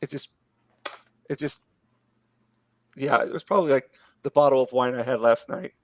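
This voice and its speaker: A man hosting a live art stream, losing his voice and talking in a relaxed, rambling way with many fillers. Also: mid-range voice, normal speed, dry recording. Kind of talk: casual late-night chat.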